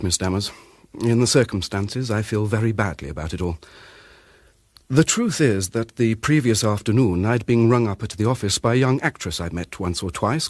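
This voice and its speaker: An actor playing a courteous, reticent gentleman witness with a British accent, speaking politely and hesitantly in a radio drama. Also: lowish voice, no echo, clean studio sound, natural voice. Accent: British English.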